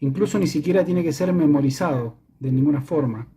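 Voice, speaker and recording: Mellow Argentine man explaining methodically. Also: fairly quick, breathy edge. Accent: Argentine Spanish. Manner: methodical, repeats and rephrases himself. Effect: live voice changer, shifting in pitch and robotic tube-like tone. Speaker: electronics maker giving a demonstration. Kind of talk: hands-on tutorial explanation.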